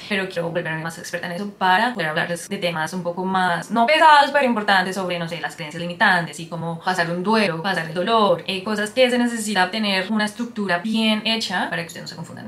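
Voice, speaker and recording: A woman's voice: mid-range voice, fairly bright tone, a little hiss or hum. A woman, chatting casually to her viewers as a vlogger and drifting into English now and then. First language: Spanish. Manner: chatty, rambling, switches into English mid-sentence. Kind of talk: casual talk to camera.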